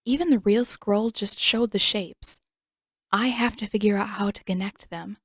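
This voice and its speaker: A determined, stubborn teenage girl, as a woman reader puts her on, pitched a little above the narration. She speaks earnestly and insistently in a storybook reading. The recording is dry and close. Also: steady tone, normal speed, breathy edge, dry recording.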